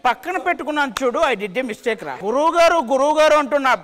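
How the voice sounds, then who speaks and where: High-pitched Telugu man doing comic banter. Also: expressive, quick pace. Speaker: comic performer on a TV dance show. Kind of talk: playful comic skit banter. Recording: loud music behind voice. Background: loud show music.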